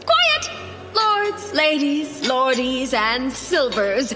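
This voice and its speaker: Royal announcer for sci-fi audio drama: bossy, theatrical, unhurried, wildly sing-song formality. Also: female voice, high-pitched, wildly swooping pitch, over loud music, full character voice. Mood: grand, commanding.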